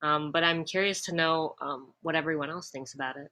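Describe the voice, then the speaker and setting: A woman's voice: sits low, steady tone, a little fast, bright, forceful tone, a little hiss or hum. A woman on a panel sharing personal reflections casually, in a thoughtful and somewhat hesitant way.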